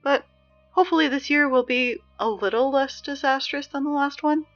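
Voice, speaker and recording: A woman's voice: sits high, moderate pace, highs cut off, soft background music, close to an everyday voice. A woman playing a sarcastic, exasperated teenage girl who reads school announcements in a casual, conversational audio drama style. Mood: weary, wry. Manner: snarky, conversational, dry.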